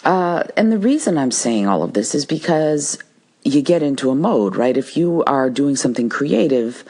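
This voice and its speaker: A woman speaks as the host of a solo podcast. Her voice is low and husky, and she explains her advice in a reflective, conversational manner. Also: lively intonation, moderate pace, mellow.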